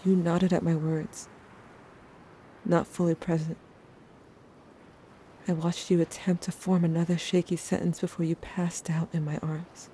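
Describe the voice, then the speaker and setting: A man's voice: fairly high pitch, flat delivery, a little slow, long pauses, dark tone, a little hiss or hum, natural voice, low-quality recording. A man playing a protective, grieving young friend, narrating events earnestly and intimately in an audio drama. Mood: shaken, tender.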